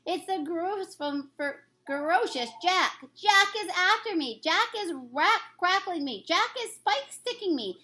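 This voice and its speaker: A woman's voice: extremely high pitch, lively intonation, a little slow, few pauses, sharp tone, pitched above the performer's own voice, full character voice. A woman reading a storybook, voicing a huge man-eating giant who bellows and pleads in terrified panic with broken grammar. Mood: screaming in terror. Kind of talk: storybook character voice in a read-aloud.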